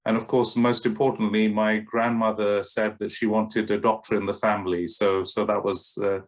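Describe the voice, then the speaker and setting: Middle-aged male doctor, low-pitched, halting delivery with many ums and restarts. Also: little pitch movement. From Kenya and West London. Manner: hesitant, many fillers and restarts. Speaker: paediatric doctor. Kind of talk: interview answers to camera.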